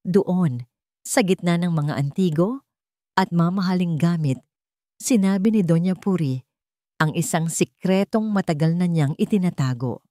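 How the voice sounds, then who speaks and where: Woman reading a dramatic love story, kinda low voice, super dry close recording. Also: measured pace, warm tone, no echo. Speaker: story narrator. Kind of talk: dramatic scripted narration of romance fiction.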